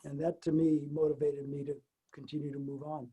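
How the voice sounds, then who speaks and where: A man's voice: a little high, flat delivery, fairly quick, mellow, breathy edge, low-quality recording. A man speaking earnestly as a panelist on a video call.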